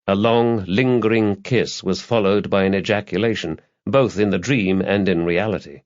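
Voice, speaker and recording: Deep-voiced man narrating a thriller audiobook. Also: moderate pace, darkish tone, no echo. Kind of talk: scripted narration of a crime thriller novel. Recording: dull, lo-fi, dry audiobook recording.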